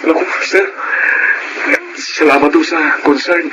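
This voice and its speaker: A Filipino actor playing a respectful, humble, easily startled young working student in a radio drama. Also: male voice, fairly high pitch, fairly even intonation, unhurried, dull audio, close to an everyday voice. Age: young adult. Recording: very noisy radio drama recording.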